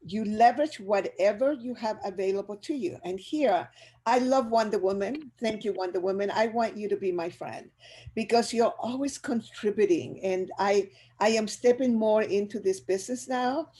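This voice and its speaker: A woman's voice: mid-range voice, mellow. An older woman from Chile with a Chilean Spanish accent, giving an upbeat motivational business talk on a video call and speaking directly to her audience.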